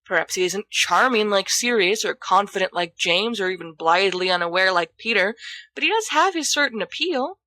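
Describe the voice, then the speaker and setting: A woman reading a scripted story aloud as narrator, with expressive delivery. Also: mid-range voice, moderate pace, no room sound. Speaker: audiobook reader of fan fiction.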